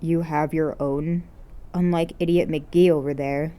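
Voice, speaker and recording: A woman's voice: sits low, steady tone, unhurried, mellow. A woman voicing a grumpy, exasperated teenage boy in a story reading, curt and sarcastic. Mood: grumbling, annoyed. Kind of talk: acted dialogue in fan fiction reading.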